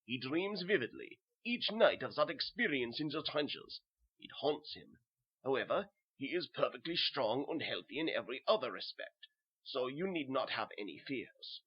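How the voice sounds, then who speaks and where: A man's voice: medium pitch, sing-song, normal speed, breathy edge, lo-fi sound. A man playing an assured, kindly German doctor who explains things formally, with a German accent, in a horror story. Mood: reassuring.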